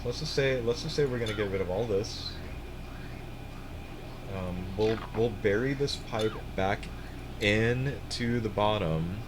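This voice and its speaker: A man's voice: on the low side, a little slow, long pauses, background noise. Young man streaming, rambling and swearing. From United States. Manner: rambling, swears casually, restarts often. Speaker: streamer playing a building game. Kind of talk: casual gaming stream chat.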